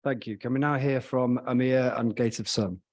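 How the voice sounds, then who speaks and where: A man with a medium-pitched voice moderates a panel discussion. He introduces guests and frames questions in measured, formal sentences. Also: steady tone, darkish tone.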